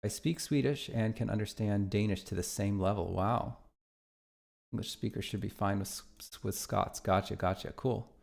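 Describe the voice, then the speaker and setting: A man with a low, gravelly voice chats casually on a live stream as he works on his game. He thinks out loud and answers viewer messages as they come in. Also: moderate pace. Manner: thinks aloud and reads viewer chat aloud. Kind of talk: casual livestream chat.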